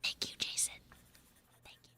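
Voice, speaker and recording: A woman's voice: normal speed, sharp tone, no room sound, in a whisper. A woman streamer speaking casually during a live roleplay stream.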